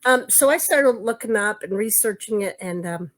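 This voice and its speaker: A woman's voice: medium pitch, expressive, moderate pace. A woman co-hosting a casual quilt shop video, chatting and rambling as she hunts for words while showing her craft projects. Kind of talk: casual show-and-tell chat.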